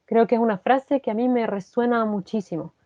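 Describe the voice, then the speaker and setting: A woman's voice: on the high side, fairly quick, warm tone, no echo. A woman with a Chilean accent speaking reflectively as an interview guest, repeating phrases as she thinks aloud. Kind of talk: conversational interview. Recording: dull lo-fi sound.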